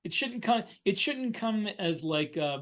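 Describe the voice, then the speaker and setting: A man explaining and telling stories casually as a podcast co-host, halting at times as he works out his points. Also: high voice, lively intonation, fairly bright tone. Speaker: podcast co-host and fiction author. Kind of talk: casual storytelling and discussion.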